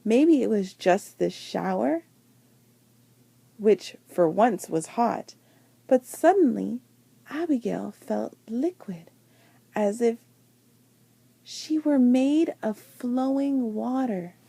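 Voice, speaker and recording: Expressive, high-pitched woman reading a storybook. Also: creaky, husky, no room sound. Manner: deliberate, dramatic phrasing with emphasised words. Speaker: teacher reading a picture book aloud. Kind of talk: expressive children's storybook read-aloud.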